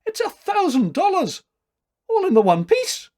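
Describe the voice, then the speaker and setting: Storybook Scottish tailor, acted by a male reader: dour yet excitable, raised pitch, exclamatory delivery. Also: a little slow, full character voice. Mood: awe-stricken. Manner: emphatic, exclamatory.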